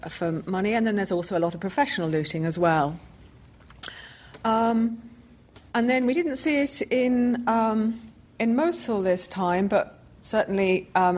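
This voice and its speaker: A woman's voice: mid-range voice, darkish tone. A woman speaking as an expert on a panel, explaining off the cuff and often repeating words as she goes. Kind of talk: extemporaneous explanatory panel talk. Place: public panel event on a stage.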